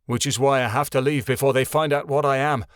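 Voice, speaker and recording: A man's voice: mid-range voice, fairly even intonation, a little fast, fairly bright tone, clean tone, no room sound, studio quality, close to an everyday voice. A man voicing a shy, resentful young warlock.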